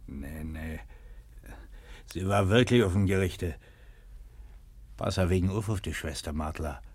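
An actor playing a concerned, plain-spoken village neighbour in a German radio play, solicitous and stubbornly insistent, with a husky voice. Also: male voice, sits low, unhurried, a little mellow, no room sound, exaggerated voice. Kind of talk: German radio play, naturalistic dialect-coloured acting.

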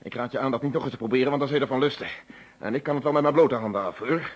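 Man acting a firm, husky police inspector. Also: mid-range voice, racing, lightly acted. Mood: threatening, calm. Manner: formal, insistent questioning. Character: male police inspector, firm, probing, formally polite. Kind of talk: radio play acting. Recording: dull old radio recording.

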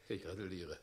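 An actor playing an eccentric, unhinged town mayor and father in a German radio play, with a theatrical, erratic, wheedling manner. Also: low-pitched.

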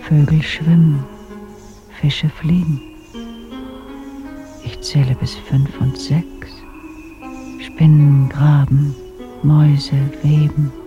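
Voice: mid-range voice, level tone, very slow, long pauses, dull audio. An actor in a radio play, playing an eerie reciter who chants a counting rhyme with great deliberation. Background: music.

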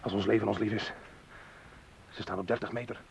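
An actor playing a stern, tense army corporal in a radio drama. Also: male voice, fairly low pitch, steady tone, brisk, gravelly, heavy background noise. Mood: hushed, tense.

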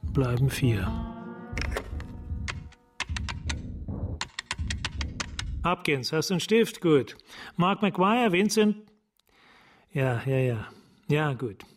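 An actor playing a hard, threatening police sergeant in a German radio crime drama, speaking curtly and deliberately. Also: male voice, on the high side, animated, faint music behind. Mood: curt, businesslike. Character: hard, menacing male police sergeant leaning on informants.

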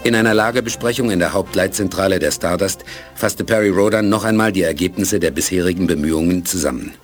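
A man narrating a radio play in a steady storytelling voice. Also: low-pitched, fast, soft background music.

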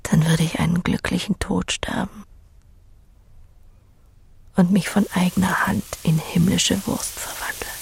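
Woman half whispering dreamily, very husky, slow, sensual radio drama monologue. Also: fairly low pitch, fairly even intonation, full character voice. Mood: dreamy, blissful. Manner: breathy, languorous whispering. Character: dreamy sensual voice longing for a pig's blissful life. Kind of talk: German radio play monologue.

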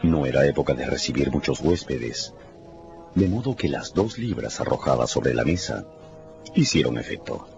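A man's voice: rumbling low, very animated, moderate pace, gravelly. A man narrating a radio drama from a script in a dramatic storytelling style. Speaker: narrator, announcer. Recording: muffled radio production with music.

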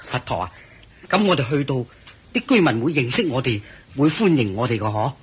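An actor playing an eager, respectful young disciple monk who asks deferential questions in Hong Kong Cantonese, in radio drama style. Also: male voice, medium pitch, sing-song, a little fast, breathy edge. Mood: eager, curious. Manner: deferential, inquisitive. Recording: faint background noise.

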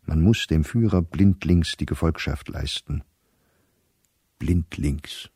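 An actor playing an embittered, middle-aged scholar reading his diary in a measured, dry, articulate radio drama delivery. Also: rumbling low, warm tone, slightly rough, dry recording, exaggerated voice. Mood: weary, bitter.

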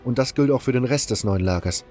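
A man playing a serious, cautious comrade who speaks in a measured way in a fantasy audio drama. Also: mid-range voice, darkish tone, faint music behind, pitched above the performer's own voice, close to an everyday voice. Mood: grave, worried. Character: grave, cautious male comrade sizing up danger.

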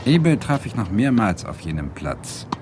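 Low male narrator, thoughtful lecturer telling his story, radio play, noisy ambience behind. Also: very animated, measured pace. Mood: reflective. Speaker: narrator and lead character, a lecturer. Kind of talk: reflective radio drama narration.

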